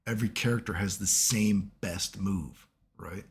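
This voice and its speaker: A man, hoarse from being sick, giving casual analytical commentary as a video host and checking in with "right?" at nearly every turn. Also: low voice, a little slow. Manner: keeps asking "right?" as he lectures, swears casually.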